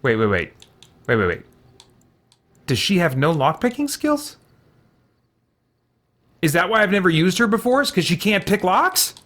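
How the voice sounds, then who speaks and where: A man's voice: high voice, big pitch swings, moderate pace, pauses often, a bit bright. Man thinking aloud while gaming. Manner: muttering to himself, hesitant, exclaiming mild oaths. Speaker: gaming video host. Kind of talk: casual let's-play commentary, thinking aloud.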